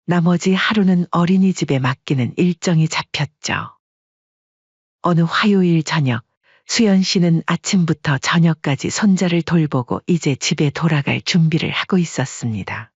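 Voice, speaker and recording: A steady narrator tells a family drama in a calm, even way. The voice is husky and mid-pitched. The recording is dry and a bit dull. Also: no room sound. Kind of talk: scripted storytelling narration.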